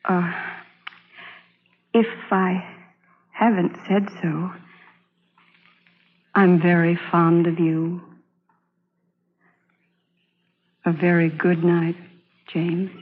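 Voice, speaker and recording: A woman's voice: on the low side, level tone, takes it slowly, pauses often, slightly rough, phone-quality sound. A woman playing an earnest, resolute young Civil War army nurse in old-time radio drama style. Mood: tender. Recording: band-limited vintage radio recording.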